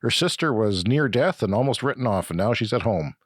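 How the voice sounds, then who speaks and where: Middle-aged podcast co-host, sick and raspy, low-pitched, meandering delivery, close dry mic. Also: male voice, animated, brisk, no room sound. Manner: rambling, self-correcting explanations. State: raspy from flu. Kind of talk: casual chat.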